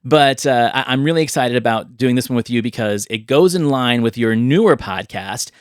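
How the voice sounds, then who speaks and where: A man's voice: medium pitch, moderate pace, clean tone, no room sound. Chatty man hosting a podcast, dry close sound. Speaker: podcast host. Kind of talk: casual podcast conversation.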